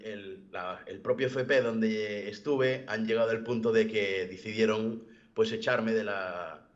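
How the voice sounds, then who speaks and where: A young man with a fairly low voice gives a personal account over an online call. He speaks haltingly, often repeating a word before rephrasing. The audio is somewhat dull and lo-fi. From born in the United States, living in Galicia, Spain. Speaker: event participant giving a personal testimony. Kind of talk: personal testimony in an online interview. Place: video call.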